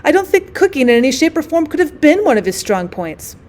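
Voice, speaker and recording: A genteel society lady from a classic short story, acted out by a woman reader, haughty and drily witty. Her fairly high voice rises and dips freely as she recounts her grievances with wordy emphasis. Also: big pitch swings, brisk, a little mellow.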